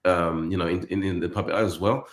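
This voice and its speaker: A man in a casual panel discussion, speaking haltingly and often repeating and rephrasing his words. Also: low-pitched, steady tone. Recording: echoey room sound.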